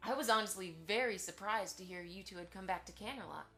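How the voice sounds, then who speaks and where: A woman's voice: medium pitch, fast talker, sharp tone. Woman playing a politely prying pony socialite over music. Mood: polite curiosity. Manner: formal, courteous, pointedly inquisitive. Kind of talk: fan audio drama acting.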